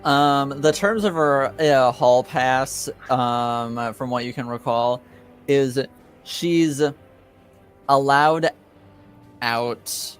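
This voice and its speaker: A man running a tabletop game as game master, narrating casually and pausing mid-sentence as he works out rulings. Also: medium pitch, smooth, faint music behind.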